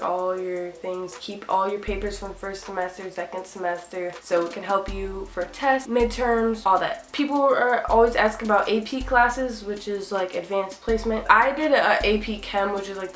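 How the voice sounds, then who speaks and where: A woman's voice: mid-range voice, echoey room. A young woman vlogger giving casual, sassy advice about school, her voice tired and a little cracked. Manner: chatty, sassy, upbeat, lots of "like". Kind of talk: casual talk to camera.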